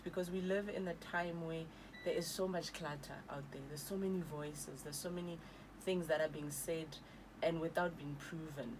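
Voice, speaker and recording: A woman's voice: low-pitched, background noise. A South African woman in her thirties, speaking earnestly as a guest on a radio interview and switching languages mid-sentence. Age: 30s. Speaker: guest, author and evangelist. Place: radio studio.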